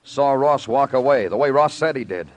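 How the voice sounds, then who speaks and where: An American actor playing a tough, world-weary police detective in vintage radio crime drama, with clipped, brooding delivery. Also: male voice, medium pitch, moderate pace, natural voice. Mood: accusing. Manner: clipped hard-boiled delivery, brooding narration. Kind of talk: 1940s-50s radio crime drama acting.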